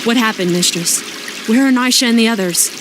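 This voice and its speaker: A woman's voice: on the high side, brisk, over music, natural voice. An actress playing an anxious, devoted female night elf sentinel in a video game, urgent and deferential. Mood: alarmed. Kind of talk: dramatic video game cutscene acting.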